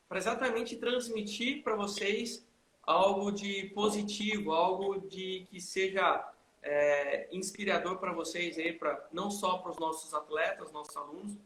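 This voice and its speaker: A lively male host with a high, bright voice who greets his viewers by name on a live chat. He sometimes slips into halting English for his guest. The room sounds echoey, with faint noise behind him.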